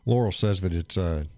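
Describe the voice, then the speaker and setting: A man hosting a live stream, chatting casually and rambling with fillers and chuckles, phlegmy and clearing his throat. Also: lowish voice, no echo, studio quality. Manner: rambling, chuckling, thinks aloud with many fillers. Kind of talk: casual chat reading viewer comments.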